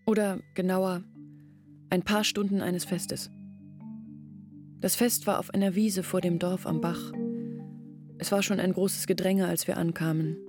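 A village woman tells of her family's downfall in a radio play. Her voice sits on the low side, and music plays underneath. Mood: wistful recollection. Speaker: actress. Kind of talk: radio play monologue, storytelling.

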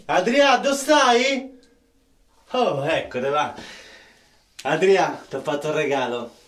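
Radio play neighbour: low, weary, kind, Roman accent, swinging intonation, set back from the mic. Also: female voice, animated, takes it slowly, echoey room. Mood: kindly. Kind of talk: radio drama acting. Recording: distant from the mic.

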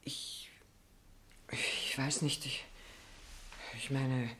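Casting: nervous female neighbour for radio drama, low register, hesitant and fretful. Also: fairly even intonation, drawn-out words, a bit bright, whispered, heavily acted. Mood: flustered. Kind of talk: German radio play acting.

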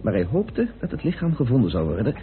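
An actor playing a composed, well-informed British parliamentarian turned sleuth in a Dutch radio play, explaining things in a measured, deliberate way. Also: male voice, mid-range voice, huge pitch swings, a little hiss or hum. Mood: pondering. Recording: narrow, phone-like old radio recording.

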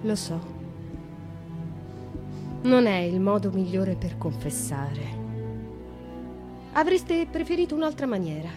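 A young noblewoman in a radio drama, confessing a killing in an intense monologue. Her voice sits at a middling pitch, sinking to a hush and then flaring into impassioned outbursts. Soft music plays faintly behind her. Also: big pitch swings, takes it slowly, pauses often. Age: young adult. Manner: intense, shifts from hushed to impassioned. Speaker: actress in a radio play.

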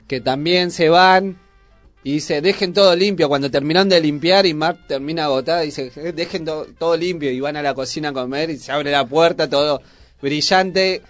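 A man with a high voice and a Buenos Aires accent hosts a casual radio chat. The sound is dry and close, with faint music underneath. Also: normal speed, no room sound. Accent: Argentine, Buenos Aires. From Argentina. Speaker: radio host.

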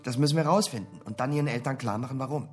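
A man's voice: medium pitch, racing, darkish tone, soft background music, lightly acted. Earnest male detective boss played by an actor. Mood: pensive. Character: earnest, brooding boss of small detective agency. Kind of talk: radio crime drama dialogue.

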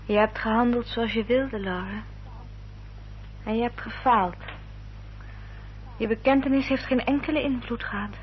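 An actress playing a determined, protective gentlewoman in a radio play, speaking in an articulate, formal way. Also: fairly high pitch, steady tone, moderate pace, long pauses, lightly acted. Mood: urgent, indignant. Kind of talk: Dutch radio play acting. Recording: dull, noisy old radio recording.

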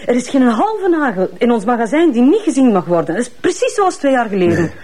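A woman's voice: on the high side, sing-song, a little fast, a little mellow. An actress playing a firm, insistent businesswoman with a Flemish accent, in naturalistic TV crime drama style. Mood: insistent, exasperated. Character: firm, insistent businesswoman, brisk with her partner.

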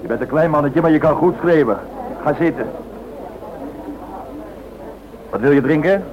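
Man acting gruff airline boss, tinny old recording. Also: on the high side, quick pace, a crowd in the background, natural voice. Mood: gruff, scornful. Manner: curt, domineering, scolding. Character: blunt, gruff, bossy male airline director. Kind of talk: radio play acting. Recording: tinny band-limited old radio recording, hiss.

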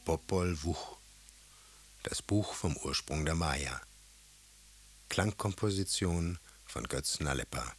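A male announcer reads a scripted title. His voice is extremely deep and slow, with faint hiss in the recording. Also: lively intonation.

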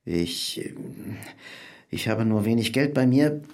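Guarded businessman, very lively pitch. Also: slow. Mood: wary, reluctant. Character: proud, well-to-do businessman, guarded and politely curt. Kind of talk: audio drama dialogue.

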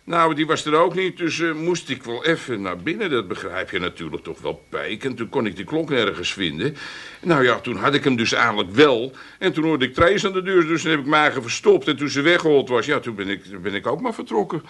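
A man's voice: medium pitch, big pitch swings, brisk, a bit bright. An actor playing a shifty, chummy Amsterdam fence in a comic radio play, hedging and wheedling as he talks. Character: shifty, chummy Amsterdam fence selling fake clocks, sheepish burglar.

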